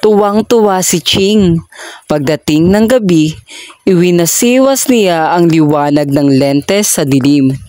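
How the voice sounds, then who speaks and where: A person reading a children's story aloud in a measured, deliberate storytelling manner. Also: mid-range voice, lively intonation, a little mellow, dry recording, clean studio sound.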